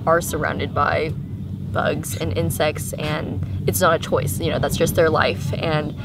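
Low-voiced young woman, noisy vlog. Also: level tone, a little slow. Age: 20s. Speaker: travel vlogger. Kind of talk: casual vlog chat. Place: small camper van and open beach. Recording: clear background noise, on-location camera audio.

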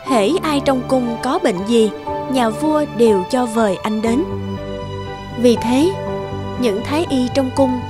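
High-pitched woman narrating a tale. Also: slow pace. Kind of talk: scripted storybook narration. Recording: music behind narration.

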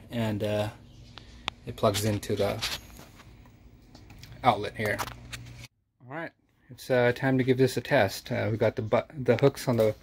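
Matter-of-fact man explaining a DIY build. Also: medium pitch. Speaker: DIY channel host. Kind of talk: casual DIY voice-over walkthrough.

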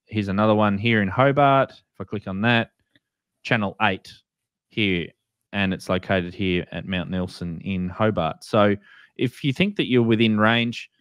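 A man's voice: fairly low pitch, fairly bright tone, smooth, no echo. An Australian man in his thirties hosting a casual livestream explainer in an informal, instructive way. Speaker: host of a ham radio show. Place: small indoor room, video call.

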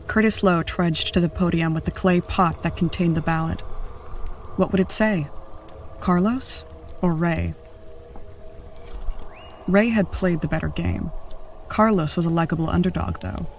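An actor playing a sardonic podcast sidekick and investigator in an audio drama, with a dry delivery. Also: male voice, fairly high pitch, a little hiss or hum.

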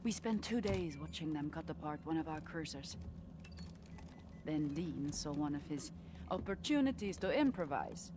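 A woman's voice: low-pitched, lively intonation, moderate pace, noisy background. Terse, serious woman soldier. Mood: sober, reporting. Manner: matter-of-fact, restrained military briefing. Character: serious, terse female supersoldier reporting to commander. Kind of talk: video game cutscene acting.

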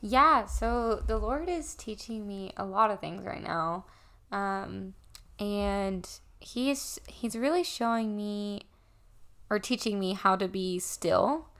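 A woman's voice: medium pitch, fairly bright tone. A woman in her early twenties, a podcast guest, speaking earnestly and reflectively in casual conversation. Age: early 20s. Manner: earnest, reflective, with pauses and restarts. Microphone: desk condenser mic.

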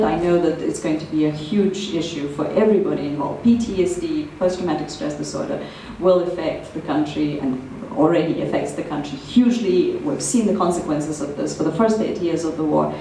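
A woman's voice: low voice, vocal fry, dark tone. Woman panellist in a very echoey theatre. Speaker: panel speaker. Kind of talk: panel discussion answer. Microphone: handheld microphone, heard from far camera. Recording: echoey theatre, distant, faint noise.

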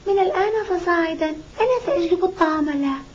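An actress playing a devoted, dutiful little daughter in a dubbed cartoon, earnest and polite. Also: female voice, very high-pitched, fast, a little mellow. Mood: earnest, caring. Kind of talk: dubbed cartoon acting in formal Arabic. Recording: muffled old cartoon soundtrack with background noise.

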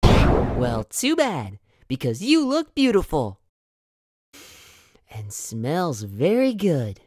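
A woman's voice: deep voice, wildly swooping pitch, extremely slow, darkish tone, no echo, clean studio sound, exaggerated voice. A woman voicing an earnest, bashful young male cartoon hedgehog in a comic dub. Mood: smitten, flustered.

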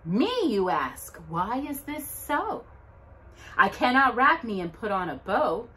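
A middle-aged woman hosting a children's storytime, reading aloud in an expressive, deliberate, dramatic way with emphatic pauses. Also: on the high side, a little hiss or hum. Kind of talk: expressive read-aloud of Bible verses and a children's Christmas book. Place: living room at home, indoors by a brick fireplace.

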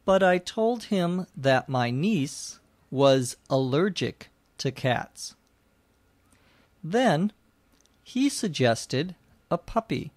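A man hosting a language-lesson podcast, narrating and explaining from a script in a deliberate, carefully phrased way for learners. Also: fairly high pitch, no echo.

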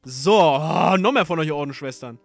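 A man's voice: a little high, expressive, normal speed, vocal fry. A man commenting casually on his gameplay as a let's play host, slangy, swearing and easily annoyed, at home. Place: home gaming setup. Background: faint game music.

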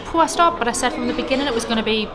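A woman in her thirties chatting casually on a travel vlog, her voice hoarse and partly lost. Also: a little high, racing. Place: busy pub, then ice hockey arena. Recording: loud crowd behind.

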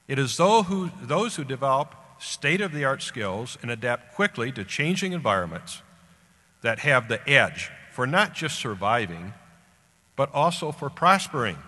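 A man's voice: mid-range voice, bright, forceful tone. Older American man speaking in a reverberant hall. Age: older, about 70. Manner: deliberate short phrases with emphatic pauses. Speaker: guest speaker at a graduation ceremony. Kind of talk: formal commencement address read from a script. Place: large indoor auditorium stage at a podium. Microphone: podium microphone. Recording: echoey hall public-address sound.

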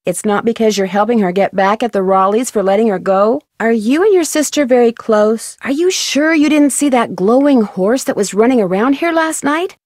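Game role: actress as inquisitive young American girl sleuth, confident and courteous, slightly high pitch, dry studio sound. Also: moderate pace, hardly any pauses, darkish tone, dry recording, close to an everyday voice. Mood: curious, polite. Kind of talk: scripted video game dialogue.

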